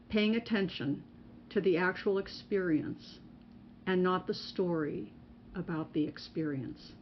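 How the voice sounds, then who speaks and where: A woman narrating a guided meditation as a mindfulness teacher, in measured, instructional phrases with pauses between them. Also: on the low side. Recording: muffled recording with faint background noise.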